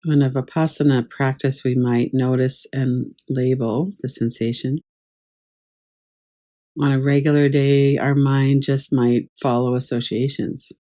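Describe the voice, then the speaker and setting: A woman teaching guided meditation in a calm, measured, gently instructive way. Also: a bass voice. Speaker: yoga nidra meditation teacher.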